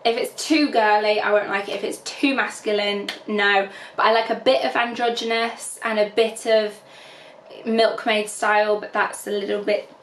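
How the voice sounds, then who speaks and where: A woman's voice: mid-range voice, flat delivery, moderate pace, a bit bright, a little hiss or hum. A young woman chatting casually to camera about clothes she is trying on, recorded in her bedroom on a camera a few feet away. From England. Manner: chatty, candid, gestures while holding up clothes. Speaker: fashion vlogger. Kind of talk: casual try-on haul chat. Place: bedroom at home. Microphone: camera on tripod at a few feet. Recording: roomy bedroom echo with music.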